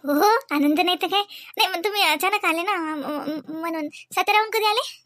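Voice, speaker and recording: A woman's voice: very high-pitched, moderate pace, a bit bright, dry recording, clean studio sound. A woman with a Vidarbha Marathi accent voicing a nervous, fretful young wife in a comedy cartoon, hesitant and muttering. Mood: flustered, placating. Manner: flustered, hesitant, muttering asides.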